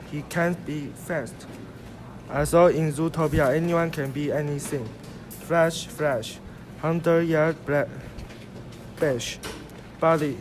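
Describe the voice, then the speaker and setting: A sly, teasing fox played by a man in an amateur radio play. He talks at a slow, easy pace in a slightly high voice, with clear noise behind him. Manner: teasing, leisurely. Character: sly, teasing male fox, smooth talker. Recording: noisy amateur recording.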